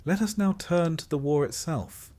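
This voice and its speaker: A man reading a scripted sleep-story narration in measured, deliberate phrases, with dry, wry understatement. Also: fairly high pitch, dark tone.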